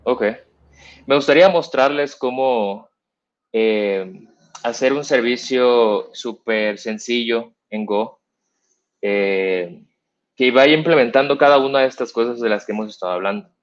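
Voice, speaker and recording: A man's voice: medium pitch, a little slow. A young man with a Mexican accent giving a live coding demo at a meetup, explaining step by step with many fillers and restarts. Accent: Mexican Spanish. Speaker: meetup speaker. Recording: dull lo-fi stream audio.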